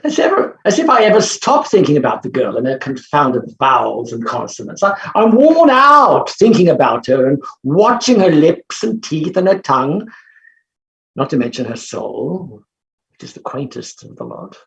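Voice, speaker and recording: A blustering gentleman professor from a period stage comedy, acted out in a reading. The voice swoops widely in pitch, petulant and emphatic, and rings in a roomy space. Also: big pitch swings, normal speed, creaky. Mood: impassioned. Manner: blustering, emphatic, upper-class turns of phrase. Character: brash, self-absorbed male phonetics professor, petulant with his mother.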